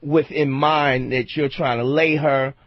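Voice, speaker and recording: A man with a husky voice chatting casually as an opinionated panelist, repeating his points for emphasis. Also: on the high side, fairly even intonation, measured pace, no echo. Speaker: talk show panelist. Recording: dull lo-fi audio.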